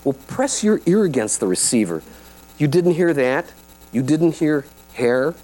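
A man's voice: fairly high pitch, very animated, measured pace, dark tone, voice pushed up, rough recording. A man reading as a giddy, flirtatious woman caller. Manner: teasing, flirtatious, pleading. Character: giddy tripping woman, ex-lover on phone. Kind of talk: live reading of fiction.

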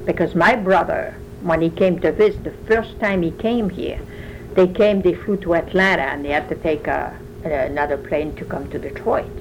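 A woman's voice: on the low side, moderate pace, a little hiss or hum, poor audio. An older woman from France with a French accent, telling long rambling stories as the interviewee in an oral history interview. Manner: talkative, rambling storyteller.

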